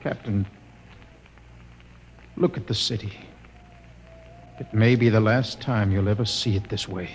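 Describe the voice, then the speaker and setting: Earnest, bitter young-idealist type of astronaut for radio drama, halting and grave, reverberant sound. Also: male voice, on the low side, steady tone, a little hiss or hum. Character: earnest, brooding male expedition spaceman, idealistic and bitter.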